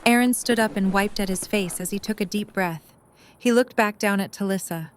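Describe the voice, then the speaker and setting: A woman's voice: medium pitch, normal speed, a little hiss or hum. Woman narrating a scripted story.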